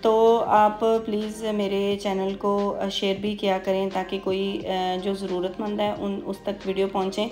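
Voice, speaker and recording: Female beautician for tutorial voice-over: explanatory, level delivery with halting pauses, faint music underneath. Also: mid-range voice, flat delivery, a little mellow. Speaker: beautician giving a hair tutorial.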